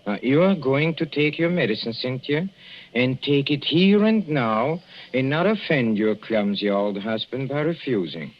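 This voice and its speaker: An actor playing a fussy, cloying older husband with veiled menace in a 1940s radio drama, coaxing with oily endearments and small chuckles. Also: male voice, mid-range voice, animated, measured pace, close to an everyday voice. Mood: coaxing, ominous. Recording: dull, noisy old radio recording.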